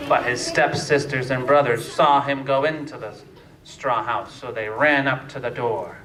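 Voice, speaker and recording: A man narrating a scripted fairytale slowly and deliberately, with pauses, as a storyteller. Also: mid-range voice, fairly even intonation, a crowd in the background. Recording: echoey room, distant mic, faint noise.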